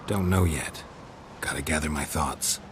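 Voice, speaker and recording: An actor voicing a calm, grizzled male monster hunter who talks in a terse, dry, matter-of-fact way. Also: rumbling low, measured pace, noisy background.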